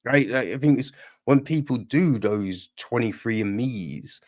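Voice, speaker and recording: A man's voice: medium pitch, lively intonation, a little slow. A man giving a casual podcast film review, rambling and opinionated, with constant tag questions. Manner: rambling, frequent tag questions like "right?" and "you know"; opinionated and irritated.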